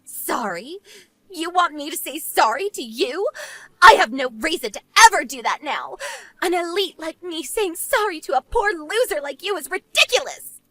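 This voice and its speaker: A woman's voice: very high voice, lively intonation, moderate pace, pushed and bright, no echo, exaggerated voice. A woman playing a spoiled, arrogant banker's wife in melodramatic scripted story dialogue, desperate and whiny. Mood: haughty. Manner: whiny, haughty, pleading.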